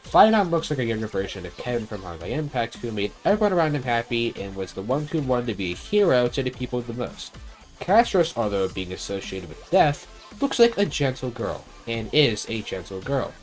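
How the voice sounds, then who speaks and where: A man delivers casual, opinionated review commentary as the host. His mid-pitched voice swings up and down animatedly, and his phrasing is blunt and informal. Faint music plays behind him. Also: very animated, fairly quick, low-quality recording. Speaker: video game review host.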